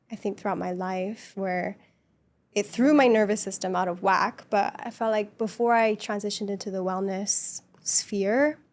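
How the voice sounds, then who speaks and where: A woman with a soft, gentle voice sitting a little above the usual pitch, talking through personal experiences as a podcast guest. Her speech is earnest and reflective, with easy laughter woven through a relaxed conversation.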